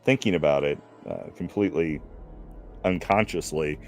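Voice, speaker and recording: A man in his fifties hosting a casual stream chat, slow and reflective, full of fillers and restarts. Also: rumbling low, darkish tone, soft background music. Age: 50s. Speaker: stream host.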